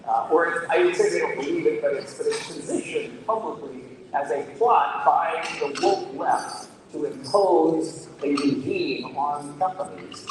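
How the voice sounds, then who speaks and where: A man's voice: on the high side, expressive, noisy background. Man moderating a panel, echoey hall. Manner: questioning, halting with fillers. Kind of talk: panel discussion on stage. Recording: distant mic in an echoey hall with crowd.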